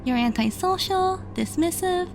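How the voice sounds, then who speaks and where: A woman playing an earnest, hopeful, caring young woman in an audio drama, sincere and gently insistent. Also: very high voice, expressive, unhurried, a little hiss or hum, exaggerated voice.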